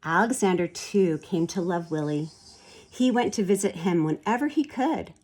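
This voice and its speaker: A woman's voice: on the low side, animated, moderate pace, a little mellow. A woman reading a children's storybook aloud expressively and explaining things in a teacherly way. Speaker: storybook reader. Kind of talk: expressive children's read-aloud with picture commentary.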